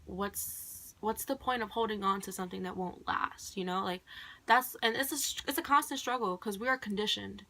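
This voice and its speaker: A woman's voice: mid-range voice, normal speed, creaky, bright, forceful tone. A young woman, a college student whose first language is Khmer, rambling casually and thoughtfully to camera with many "like"s and "um"s. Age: young adult. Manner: rambling, heavy on "like" and "um".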